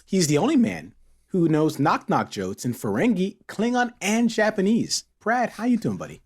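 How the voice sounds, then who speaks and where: A man's voice: high-pitched, huge pitch swings, normal speed, darkish tone, husky. A man hosting a casual podcast chat in a playful, teasing manner. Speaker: podcast host.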